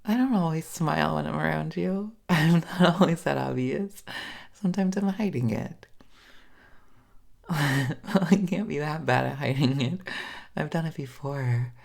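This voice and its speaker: A woman's voice: sits low, measured pace, vocal fry, a little mellow. A woman acting a shy, smitten, tipsy date in an intimate roleplay audio, stammering and giggling nervously as she fumbles her words. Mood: giddy, smitten.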